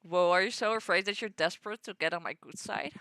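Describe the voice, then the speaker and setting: A woman's voice: medium pitch, quick pace, bright, forceful tone, slight background noise. A woman with a stuffy nose reading the lines of a mocking ancient card-reading being, teasing and lecturing. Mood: wry.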